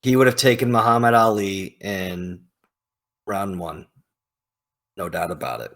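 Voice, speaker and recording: Slow-paced man giving casual emphatic commentary. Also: sits low, a bit bright. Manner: emphatic, hyperbolic, informal slang. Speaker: video host ranking game characters. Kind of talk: casual opinionated commentary, thinking aloud.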